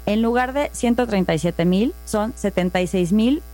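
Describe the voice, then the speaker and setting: A woman's voice: medium pitch, moderate pace, mellow. A woman with a Mexican accent, explaining saving and investing in a patient, methodical chat, walking through worked examples. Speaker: finance guest.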